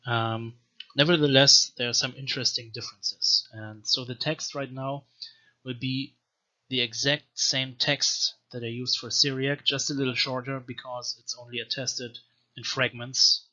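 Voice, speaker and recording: A man giving an informal, scholarly language lecture in a deliberate, measured manner, as a teacher explaining and reading aloud. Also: medium pitch, flat delivery, bright, forceful tone. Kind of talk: informal explanatory lecture with slow read-aloud text samples. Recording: dull, lo-fi microphone sound.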